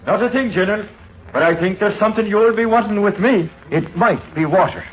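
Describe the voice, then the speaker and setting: Man playing a sly, high-pitched Irish water diviner. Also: a little fast, lightly acted. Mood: sly, confident. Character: disheveled bewhiskered Irish water diviner, sly, self-assured. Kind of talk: 1950s radio drama acting. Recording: muffled vintage radio recording, faint noise.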